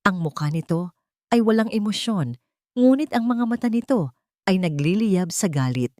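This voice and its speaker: A woman's voice: mid-range voice, lively intonation, normal speed, dark tone, no room sound, clean studio sound. A woman narrating a dramatic story as a scripted storyteller.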